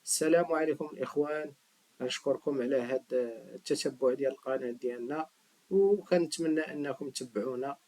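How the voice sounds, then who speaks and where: A man's voice: mid-range voice, rapid-fire, a little mellow, low-quality recording. A middle-aged man giving a casual spoken lesson to his viewers. He switches easily between languages and leans on emphatic rhetorical questions. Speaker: language teacher, channel host.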